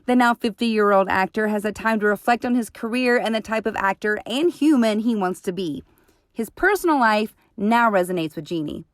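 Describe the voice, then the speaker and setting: A woman's voice: mid-range voice, animated, moderate pace, no echo, studio quality. A woman hosting and reading scripted narration in an upbeat presenting tone. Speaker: host.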